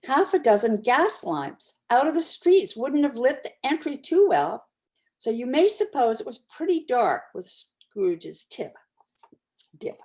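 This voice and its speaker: Woman, community reader, expressive storytelling, varied melodic pitch, emphatic pauses, classic tale read aloud. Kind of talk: dramatic reading of a classic story.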